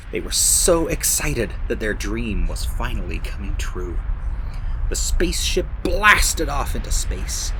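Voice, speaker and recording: A man reading to children as a storyteller, expressive, with dramatic emphasis. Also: on the low side, slight background noise.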